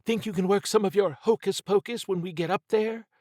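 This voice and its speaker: Plucky adventuring woman played by a man for horror audiobook; lifted pitch, wry, brisk, unflappable. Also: a little mellow, no echo, studio quality, lightly acted. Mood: urgent.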